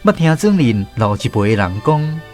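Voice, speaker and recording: A man's voice: mid-range voice, big pitch swings, moderate pace, faint music behind. A man with a Taiwanese accent, a radio host and storyteller reading scripted narration.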